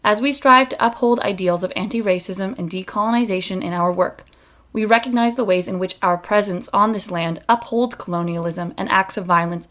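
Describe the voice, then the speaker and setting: A woman reading a scripted introduction in a formal, earnest manner. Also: medium pitch. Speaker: company representative giving introduction. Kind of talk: scripted announcement, read aloud.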